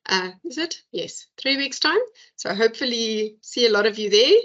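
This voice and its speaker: A woman's voice: fairly high pitch, expressive, normal speed, a bit bright, lo-fi sound. Chatty woman hosting a video-call seminar. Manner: chatty, thinking aloud with fillers. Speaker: seminar host. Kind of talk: academic discussion and closing remarks.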